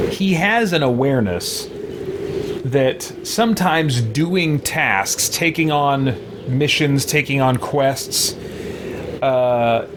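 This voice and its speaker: A man in his thirties giving casual gameplay commentary, thinking aloud in a halting way. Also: medium pitch, background noise. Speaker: let's play host. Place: small home room with shelves.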